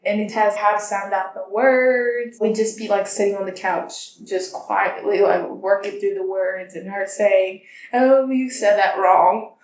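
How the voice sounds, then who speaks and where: A woman's voice: mid-range voice, fairly even intonation, a little slow, hardly any pauses, a little mellow. A young woman telling personal stories casually and conversationally, as an interviewee. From born in Tanzania, grew up in the United States. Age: young adult. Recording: roomy, echoing interview recording.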